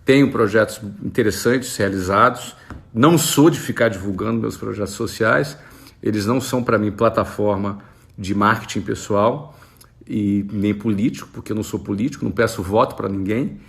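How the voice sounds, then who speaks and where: A Brazilian man in his forties, an entrepreneur talking casually to camera and answering follower questions in a relaxed, conversational way. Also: fairly low pitch, normal speed, creaky. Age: 40s. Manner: relaxed, conversational, with frequent fillers.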